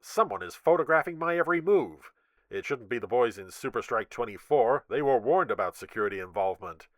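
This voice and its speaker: A man voicing a calm, dutiful young male rescue pilot who reports in a businesslike way. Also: medium pitch, a little fast, dry recording, studio quality, natural voice. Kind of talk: audio adventure story reading.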